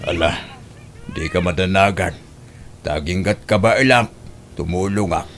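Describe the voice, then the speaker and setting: A man with an Ilocano accent playing a protective, supportive older father in an audio drama, speaking in a measured, concerned way. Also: low voice, fairly bright tone, a little hiss or hum.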